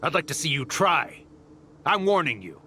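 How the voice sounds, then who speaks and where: A scornful desert outcast in a fantasy game. He is defiant and menacing, with a forceful tone and animated pitch over faint music. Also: moderate pace. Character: defiant, scornful rival desert nomad, a man.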